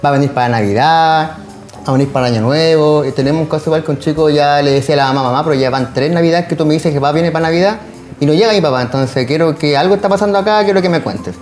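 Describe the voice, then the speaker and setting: A man's voice: on the high side, quick pace, darkish tone, faint music behind. A man with a Chilean accent giving informal, run-on explanations as an interview guest. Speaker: social worker, guest.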